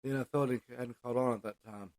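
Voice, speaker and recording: Male American podcast host, mid-pitched, halting informal delivery, very dry recording with no room sound. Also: fairly even intonation, a little mellow, no echo. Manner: halting delivery with frequent hesitations and self-corrections. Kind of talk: informal solo podcast monologue with news and sports recap.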